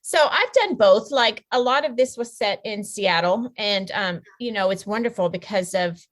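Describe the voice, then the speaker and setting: A woman speaks as a writer being interviewed on a podcast. Her voice sits at an average pitch, and her delivery is informal and conversational. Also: lively intonation, moderate pace. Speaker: podcast guest, novelist. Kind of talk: casual chat.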